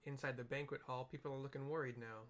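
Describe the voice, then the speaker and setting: Guy narrating a game playthrough, relaxed, mid-pitched, fixes his own words a lot. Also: fast. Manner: relaxed, describing the scene, self-correcting. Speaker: let's play narrator. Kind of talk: casual commentary on a game.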